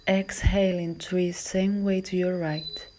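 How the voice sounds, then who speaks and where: A woman yoga instructor guiding a class in a calm, measured, soothing voice that is soft and at times breathy. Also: fairly low pitch, a little hiss or hum. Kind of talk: guided yoga and relaxation instruction.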